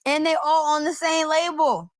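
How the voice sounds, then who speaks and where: A woman with a somewhat high-pitched voice chats casually as part of a panel. She sounds close and dry, with no room around her. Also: steady tone, moderate pace, no room sound. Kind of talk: casual banter.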